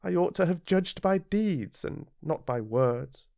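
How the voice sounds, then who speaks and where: A man's voice: on the high side, animated, slow pace, vocal fry, darkish tone, pitched above the performer's own voice, exaggerated voice. A British man voicing a tender, earnest young boy prince with a wistful manner. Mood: regretful.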